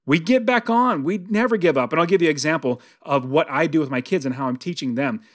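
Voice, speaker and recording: Higher-pitched American man, podcast host. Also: quick pace. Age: early 40s. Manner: conversational, emphatic, repeats phrases for stress. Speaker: podcast host and real estate investing coach. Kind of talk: motivational podcast monologue.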